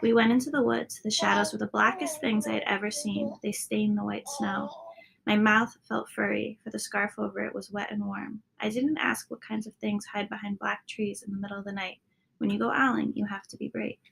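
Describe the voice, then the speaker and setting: Woman with a creaky, husky storytelling voice. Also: mid-range voice, level tone, a little fast, fairly bright tone, poor audio. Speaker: storybook reader. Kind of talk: read-aloud children's story narration.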